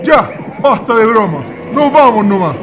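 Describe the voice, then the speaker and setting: A man's voice: very high-pitched, big pitch swings, measured pace, music in the background, exaggerated voice. A man playing a bold, commanding traveller, declamatory and emphatic in a radio drama. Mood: threatening.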